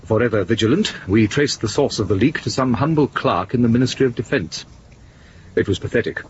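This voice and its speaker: An actor playing an urbane, evasive secret service officer in a radio drama, drily condescending and smug. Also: male voice, medium pitch, brisk, highs cut off, a little hiss or hum, lightly acted.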